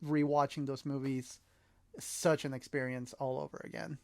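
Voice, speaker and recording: A man's voice: medium pitch, little pitch movement, moderate pace, creaky, no room sound. A man from El Salvador, a native Spanish speaker, co-hosting a podcast and casually discussing films with earnest enthusiasm. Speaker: podcast co-host.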